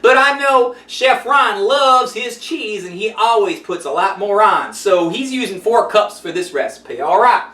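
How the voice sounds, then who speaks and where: A man's voice: high voice, animated, a little slow, few pauses, bright, forceful tone, heavily acted. Folksy old granny gabbing away, a guy putting her on, kinda far from the mic in a roomy space. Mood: chirpy. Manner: gossipy, folksy, rambling. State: out of breath. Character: chatty sassy old grandma cooking host. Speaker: cooking show host. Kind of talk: comedic character monologue. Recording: roomy sound, mic at a distance.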